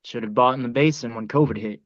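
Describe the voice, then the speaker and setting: A man's voice: mid-range voice, fast, lo-fi sound, natural voice. A man acting a shrewd oilman in his fifties in a script read, blunt and assured. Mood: brisk, blunt. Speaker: actor.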